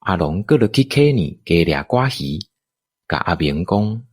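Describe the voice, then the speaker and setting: Taiwanese male narrator for radio drama: low pitch, very lively intonation, expressive storytelling, dry studio sound. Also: measured pace, husky, no room sound.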